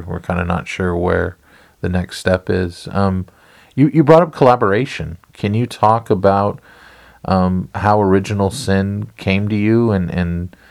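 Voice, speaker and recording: A man's voice: low voice, gravelly. A man moderating a casual interview, hesitantly repeating words as he forms his questions.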